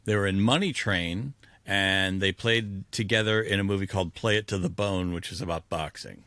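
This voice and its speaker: Male podcast co-host, lowish pitch, sharp bright tone, dry sound. Casual, rambling film talk. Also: moderate pace, no echo. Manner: rambling, self-interrupting.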